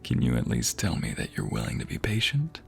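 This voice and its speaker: A man playing a doting, tender husband in an intimate ASMR roleplay, speaking softly and often slipping into breathy whispers. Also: extremely low pitch, huge pitch swings, fairly quick, faint music behind, exaggerated voice. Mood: earnest, tender. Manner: soft, breathy, lingering delivery dropping into whispers. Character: doting, tender husband comforting his sweetheart.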